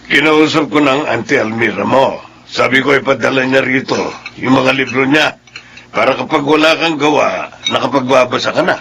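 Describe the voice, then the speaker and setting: A man's voice: medium pitch, normal speed, creaky, a bit bright, slight background noise. A man playing a domineering, short-tempered middle-aged father in a Filipino radio drama, stern and scolding.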